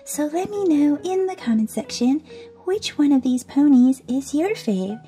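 A gushing, giggly woman with a high voice unboxes toys at a tabletop and calls everything super awesome. She is under the weather and her voice is starting to go. Soft music plays faintly underneath. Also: very animated, a little slow. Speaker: toy unboxing host. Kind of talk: cheerful toy unboxing narration. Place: indoors at a tabletop toy display.

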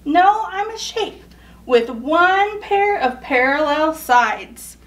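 A woman's voice: high-pitched, big pitch swings, takes it slowly, fairly bright tone, distant mic, exaggerated voice. A woman voicing an eager, cheerful trapezoid character from a picture book, keen and pleading to be included. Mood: eager, bold. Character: eager, cheerful trapezoid shape who wants in.

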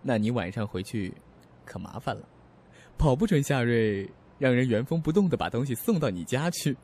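Needling buddy played by a man in a radio play; raspy-edged voice, animated delivery. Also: medium pitch, moderate pace. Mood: teasing. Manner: amused, needling. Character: teasing male friend of a businessman. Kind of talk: audio drama acting in Mandarin.

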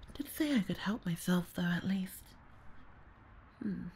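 A woman playing a young, lazy and easily flustered babysitter in an ASMR audio roleplay, with a breathy, hesitant delivery. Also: on the low side, expressive, a little slow, darkish tone, a little hiss or hum. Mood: idle muttering. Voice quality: husky, breathy, often dropping to a near-whisper. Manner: hesitant, muttering, sighing, trailing off. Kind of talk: ASMR audio roleplay, solo character acting.

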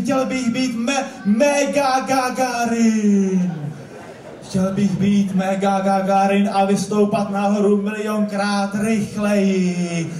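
High-pitched man declaiming slam poetry. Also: a little mellow. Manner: theatrical, declamatory, repeating words for emphasis. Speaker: slam poet. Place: club stage at a live competition. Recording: echoey hall, distant stage microphone.

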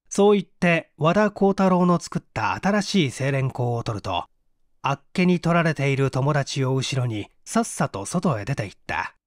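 Expressive male audiobook narrator, dry sound. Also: on the high side, dry recording.

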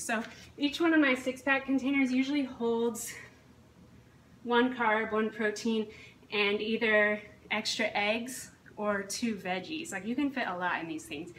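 A woman's voice: mid-range voice, fairly bright tone. A woman in her thirties, a fitness coach, talking casually and matter-of-factly to camera as she explains her routine in a home kitchen. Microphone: camera a few feet away. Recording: echoey kitchen, distant mic, faint hiss.

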